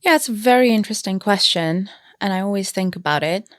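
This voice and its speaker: A woman's voice: medium pitch, a little fast, no echo, clean studio sound. A young woman from Kenya, a business founder and podcast guest, answering in a thoughtful, casual way with many fillers and restarts. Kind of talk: casual interview chat.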